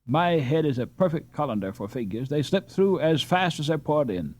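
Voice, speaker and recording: A man's voice: on the high side, very animated, normal speed, a little mellow, no echo. A man voicing an enthusiastic, chatty English boy in an audiobook. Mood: eager.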